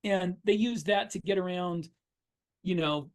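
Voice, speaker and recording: A man's voice: sits high, a little slow. A man chats casually as a podcast co-host. He explains things in a wry, conversational way, as one writer talking shop with another. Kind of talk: casual shop-talk chat.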